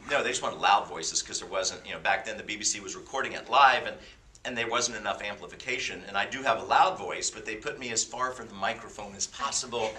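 A man's voice: lowish voice, fast talker, sharp tone, recorded from a distance. A man in his fifties leading a class with an informal sermon, mixing stories with jokey, self-deprecating asides. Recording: roomy sound from away from the mic.

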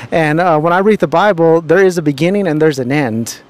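A man's voice: fairly high pitch, a little fast. A young man with a handheld mic interviewing people outdoors on a city street, talking earnestly and preachily with many fillers and restarts.

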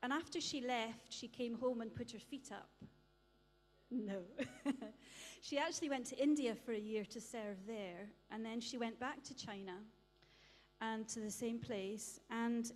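A woman's voice: a little high, unhurried, slight background noise. A woman telling a church history story to an audience, with light humour. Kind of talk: illustrated talk with slides. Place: church hall. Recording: long reverb of a church hall.

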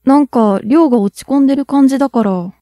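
A woman's voice: high voice, fast talker, warm tone, dry recording, clean studio sound, lightly acted. A young woman playing a friendly, easily flustered schoolgirl with a crush in a radio drama. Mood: teasing, friendly. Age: young adult. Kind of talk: Japanese student radio drama acting.

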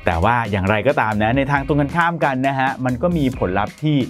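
A man in his thirties explains a topic straight to camera, his pitch rising and falling with lively swings. He keeps a polite, chatty tone, recorded close on a lapel mic in a studio with soft music faintly underneath. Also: quick pace. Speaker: presenter. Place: bright studio in front of a plain grey backdrop.

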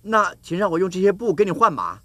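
An actor playing a humble, naive young traveller in a folk-tale cartoon, earnest, grateful and exclamatory. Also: male voice, high-pitched, sing-song, quick pace, pushed and bright, a little hiss or hum, heavily acted. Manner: earnest, wide-eyed, exclamatory.

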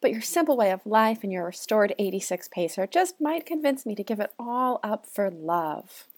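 A woman's voice: medium pitch, lively intonation, normal speed, natural voice. A woman playing a gushing, lovestruck career woman with an earnest, over-sweet delivery in a parody radio play.

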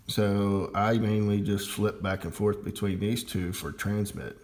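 A man giving a casual, unscripted hands-on demonstration of his hobby equipment, talking in a halting way. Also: on the low side, fairly even intonation, darkish tone. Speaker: amateur radio hobbyist demonstrating gear.

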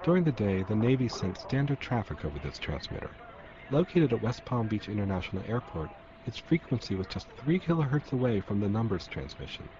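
A man's voice: on the low side, very animated, brisk. A man narrating a radio documentary from a script, in a muffled, noisy recording.

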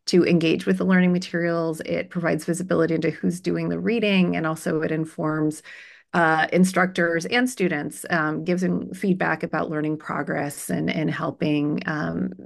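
Female webinar host, low register with noticeable fry, conversational and explanatory, presenting updates on a video call. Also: flat delivery, moderate pace. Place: online video meeting. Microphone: video call microphone.

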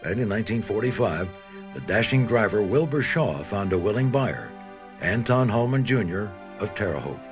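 A man's voice: fairly low pitch, lively intonation, moderate pace, over music. A man delivering polished, scripted narration for a documentary.

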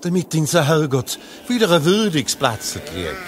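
An actor playing a sly, self-interested shepherd with a Tyrolean Austrian accent in a satirical radio play. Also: male voice, fairly high pitch, huge pitch swings, moderate pace, a little hiss or hum. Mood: sly, pleading. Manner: blunt, colloquial, sceptical.

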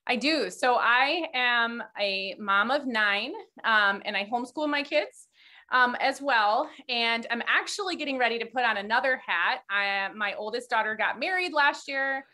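A woman's voice: a little high, measured pace, sharp tone. A woman in her forties, a homeschooling mother, speaking cheerfully as a podcast guest. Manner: cheerful and friendly. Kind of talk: casual interview.

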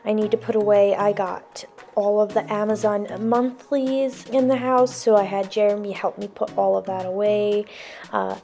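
A young woman talks through her cleaning routine in a relaxed voice-over. Her voice is mellow and warm, and she sits in the middle of the female range. She chats casually and gushes a little about the products she likes. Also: medium pitch. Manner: chatty, recommends products enthusiastically. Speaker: cleaning vlogger.

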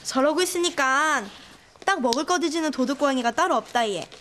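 A mean schoolgirl played by a woman with a high voice and a taunting manner. It is children's drama acting, with faint noise in the recording. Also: brisk, natural voice. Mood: mocking. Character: mocking schoolgirl, a mean classmate.

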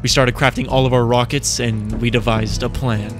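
A man's voice: mid-range voice, level tone, over music. A man telling the story of a survival game run and calling out shots to his team. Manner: shouts quick callouts in fights. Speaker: game streamer narrating. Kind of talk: game callouts and voice-over narration.

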